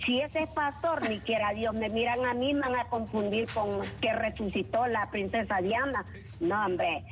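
A woman's voice: fairly high pitch, moderate pace. Woman caller, crude and blunt, on a phone line with faint music behind her. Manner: coarse, outspoken, scornful. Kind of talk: call-in radio rant.

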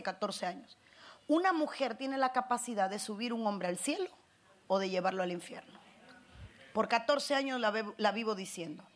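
A woman pastor with a Cuban Spanish accent delivers a sermon in a high voice. She calls on the congregation for responses and climbs into emphatic bursts. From Cuba.

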